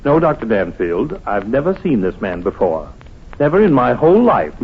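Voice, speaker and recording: An earnest male witness in a vintage radio mystery. The voice is husky and highish, with very lively, excitable pitch. The sound is a little lo-fi. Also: normal speed, full character voice. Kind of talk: old-time radio drama acting. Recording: muffled vintage radio recording.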